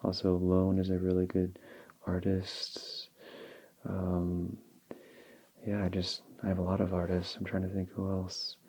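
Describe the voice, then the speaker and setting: A young man whose first language is Polish, speaking softly and slowly as an ASMR creator answering viewer questions. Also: low voice. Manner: slow and relaxed, with small restarts. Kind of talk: soft-spoken ASMR Q&A. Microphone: handheld field recorder.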